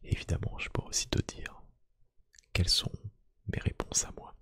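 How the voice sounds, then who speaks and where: A man doing a soft-spoken ASMR roleplay, gently whispering one-to-one to the listener in a hushed, breathy voice. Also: moderate pace, no room sound. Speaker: ASMR YouTube creator. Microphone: close microphone.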